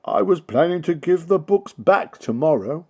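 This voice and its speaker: A man's voice: fairly high pitch, lively intonation, moderate pace, a little mellow, slightly rough, pitched above the performer's own voice. A man voicing a sorry, sheepish thief in a children's storybook, hesitant and apologetic. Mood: remorseful.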